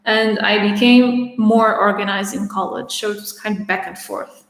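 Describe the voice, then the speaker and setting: A woman in her twenties with a raspy voice, hosting a casual Q&A livestream and chatting through viewer questions with a few restarts, speaking with some strain. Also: medium pitch, steady tone, normal speed. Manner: chatty, with frequent restarts and laughter. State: having trouble speaking. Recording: roomy echo with long reverb.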